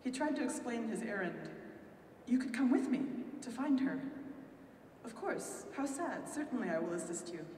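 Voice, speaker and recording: A woman's voice: fairly high pitch, very animated, normal speed, a little mellow. A woman reading her own story at a live event, voicing the characters. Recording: echoey hall from far off, noisy.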